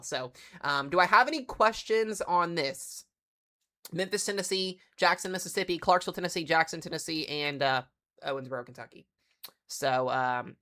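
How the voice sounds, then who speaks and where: A congested man with strep throat talks through a live weather briefing at a quick clip. His voice is bright and forward, and he comes across chatty and excitable, leaning on plenty of "um"s. Rich traits: fast pace, bright timbre, stuffy nose. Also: on the high side. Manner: excitable, chatty, filler-heavy. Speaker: weather livestream host.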